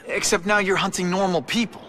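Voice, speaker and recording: Bright-voiced, wry young news photographer. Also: male voice, sits high, fairly even intonation, brisk. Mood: wry, probing. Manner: conversational, slightly hesitant, wry. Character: young, curious, wry male news photographer interviewing a vigilante. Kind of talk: video game cutscene acting.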